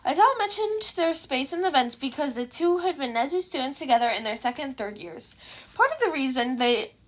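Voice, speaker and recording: A woman's voice: high-pitched, sing-song, a little fast. A woman reading a scripted story aloud as an amateur audiobook narrator.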